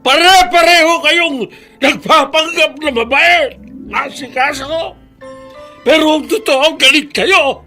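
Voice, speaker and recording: Shrill, querulous old grandfather. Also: a little slow, sharp tone, faint music behind, heavily acted. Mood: angry, bitter. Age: older. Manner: querulous, accusatory. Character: cranky, bitter, stubborn old grandfather. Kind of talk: radio drama acting.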